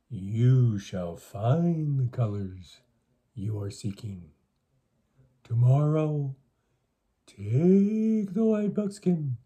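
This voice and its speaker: A man voicing a solemn, mysterious dream spirit in a children's story, speaking slowly and deliberately with drawn-out words. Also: mid-range voice, warm tone, dull audio. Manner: slow, drawn-out, deliberate delivery.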